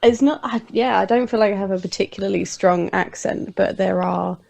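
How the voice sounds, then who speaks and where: Gravelly-voiced woman with an accent. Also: medium pitch, fairly quick, a little mellow, no echo. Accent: non-American English accent. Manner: candid, self-deprecating, many "like"s. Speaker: podcast guest, fellow podcaster. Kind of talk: casual conversation.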